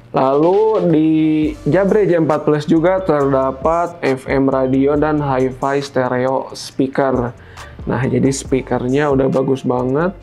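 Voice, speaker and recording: A man presents a product in a casual unboxing style. His voice sits at a medium pitch, and he explains things informally, step by step. Music plays along behind him. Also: darkish tone. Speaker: product review host.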